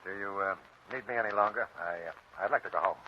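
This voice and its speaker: A nervous, deferential employee in a radio play. His voice is fairly low and husky, with halting phrases. The old broadcast recording sounds muffled. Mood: nervous, meek. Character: meek, nervous male employee being questioned. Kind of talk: old-time radio drama acting.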